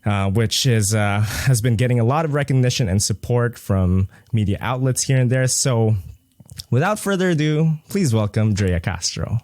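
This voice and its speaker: A man's voice: mid-range voice, expressive, moderate pace, darkish tone, no room sound. A man hosting a podcast interview, polite and a little formal, with a few hesitations. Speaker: podcast host.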